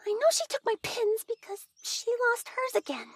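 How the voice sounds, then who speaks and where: Squeaky, whiny young temple girl. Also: sing-song, normal speed, dry recording. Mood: annoyed, whining.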